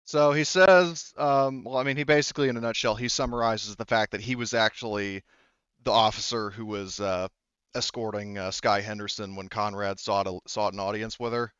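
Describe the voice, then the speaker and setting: A man acting as game master, explaining and narrating casually in a halting, conversational way. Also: lowish voice, steady tone, fairly bright tone, clean tone, dry recording, dull audio.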